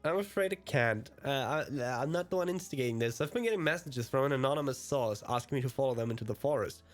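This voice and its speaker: A man's voice: medium pitch, expressive, fast, pitched above the performer's own voice, natural voice. A man voicing a polite, hesitant and anxious hero with a deferential manner in a visual novel read aloud. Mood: anxious, pleading. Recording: music behind the voice.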